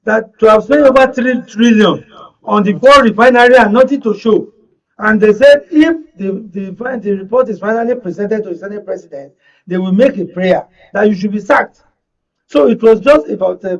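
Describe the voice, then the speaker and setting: A man's voice: sits high, moderate pace, recorded from a distance, rough recording. A man with a Nigerian accent debating politics as a studio guest, emphatic and rhetorical, often repeating phrases to press his point.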